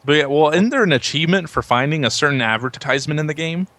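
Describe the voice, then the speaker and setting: A man's voice: fairly high pitch, quick pace, fairly bright tone. A man in a casual conversation, speaking as a host on a video game podcast. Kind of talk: casual chat.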